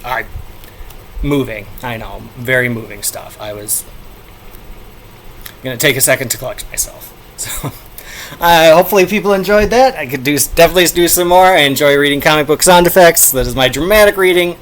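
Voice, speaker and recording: A middle-aged man hosting a casual comic book review show, talking in a playful, animated way. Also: fairly high pitch, a little fast. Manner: playful, animated, breaking into shouted sound effects.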